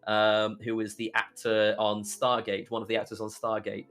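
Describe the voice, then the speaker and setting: A man's voice: lowish voice, monotone, moderate pace, fairly bright tone, clear, resonant tone, faint music behind. A young British man telling stories casually as a podcast guest, chatty and rambling as he goes. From United Kingdom. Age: young adult. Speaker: podcast guest, YouTuber and streamer.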